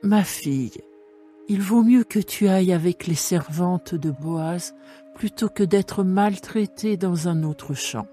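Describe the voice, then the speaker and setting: A woman playing an older, caring mother-in-law who gives slow, gentle counsel in a dramatised Bible reading. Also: on the low side, soft background music. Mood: tender counsel. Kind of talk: dramatised scripture reading in French.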